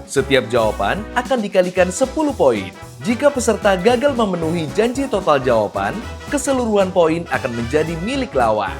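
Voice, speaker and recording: An Indonesian man hosting a quiz game show in a lively presenter's style, over background music. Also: sits high, normal speed.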